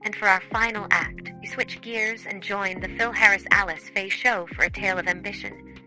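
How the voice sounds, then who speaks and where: A woman's voice: mid-range voice, fairly even intonation, moderate pace, bright, forceful tone, faint music behind, rough recording. A woman presenter reading scripted narration to introduce a show.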